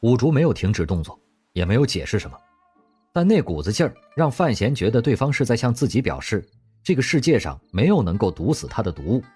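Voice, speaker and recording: A man narrating an audio drama in an expressive storytelling manner. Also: mid-range voice, quick pace, breathy edge, soft background music.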